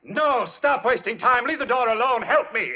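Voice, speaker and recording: An actor playing a deranged, commanding surgeon in an old-time radio horror drama, theatrical and swinging between soothing murmurs and imperious orders. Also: male voice, very high voice, animated, normal speed, pushed and bright, a little hiss or hum, exaggerated voice. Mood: frantic commanding. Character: deranged, commanding male surgeon, obsessed with operating. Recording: dull old radio recording with music.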